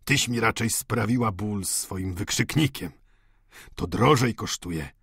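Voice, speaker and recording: Man playing a gravelly, husky doting old father. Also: fairly low pitch, animated, unhurried, a bit bright, dry recording, studio quality, heavily acted. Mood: tender. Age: older. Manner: effusive, pleading, sentimental. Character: doting, tearful old father, fervently devoted to his daughter.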